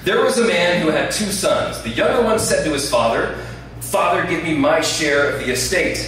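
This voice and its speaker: A man preaching a sermon to a live audience in a loud, conversational voice, often checking that listeners follow. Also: on the high side, expressive, normal speed, soft background music. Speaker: guest preacher. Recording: very echoey room, distant mic, faint ambience.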